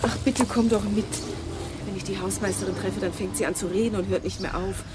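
A nervous accomplice played by a woman from a crime radio play, pleading in an anxious, halting way. Loud ambient noise surrounds her mid-range voice. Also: medium pitch, steady tone, lightly acted. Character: nervous, pleading female accomplice posing as a lady. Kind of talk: German crime radio drama acting.

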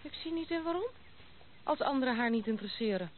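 A woman's voice: sits high, lively intonation. An actress playing a blunt, proud, self-assured young noblewoman in a radio play. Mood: brisk, self-assured. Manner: brisk, self-assured, outspoken. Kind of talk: Dutch radio play acting. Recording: muffled narrow-band old radio recording, noisy.

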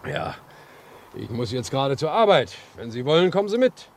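An actor in a German radio drama playing a folksy, helpful railway line inspector who likes to chat and caution. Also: male voice, mid-range voice, very animated, normal speed. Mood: folksy, obliging. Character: chatty, obliging railway line inspector guiding a stranger. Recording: noisy old radio play recording.

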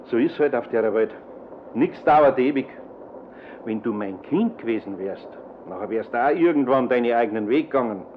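A man's voice: mid-range voice, expressive, normal speed, a little hiss or hum, close to an everyday voice. A male actor playing an older, fatherly master carpenter with a Bavarian accent in a radio play, plain-spoken and homely. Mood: resigned. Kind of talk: German radio play acting. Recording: narrow, tinny old radio recording.

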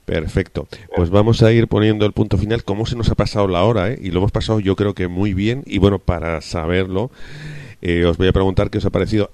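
A man hosts a relaxed radio chat in a very low voice. He is chatty and friendly as he steers his guests. The sound is dry and close, with no room around it. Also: no room sound. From Canary Islands. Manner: relaxed, chatty, leads the panel with friendly questions. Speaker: radio talk show host. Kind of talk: casual radio panel chat.

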